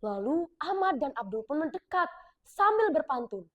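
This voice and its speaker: A female storyteller from South Sumatra tells a folk tale in a clear, expressive voice. Her voice sits high and her narration is measured. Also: muffled recording. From South Sumatra, Indonesia.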